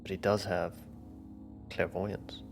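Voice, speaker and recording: A man's voice: low-pitched, flat delivery, takes it slowly, creaky, music in the background. A man chatting casually as a player in a tabletop roleplaying game.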